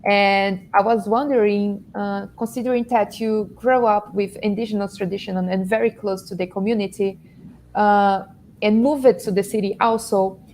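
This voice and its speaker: A woman moderator poses a long, rambling question, restarting her phrasing as she goes. Her pitch is middling, and faint background noise sits under her video-call audio. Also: steady tone, moderate pace, darkish tone. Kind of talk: interview question on a panel.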